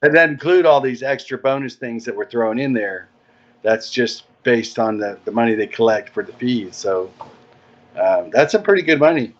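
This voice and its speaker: A man with a medium-pitched voice talks casually as a guest, laying out plans like an organizer. The audio is dull and lo-fi. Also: animated, moderate pace. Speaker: interview guest, event organizer. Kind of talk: casual chat explaining event plans.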